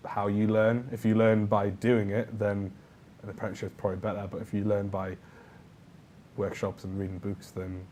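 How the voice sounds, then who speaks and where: A man's voice: lowish voice, flat delivery, normal speed, mellow, slight background noise. A young man with a North East English accent, chatting casually as a student show co-host. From Northern England. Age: young adult. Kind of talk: casual chat.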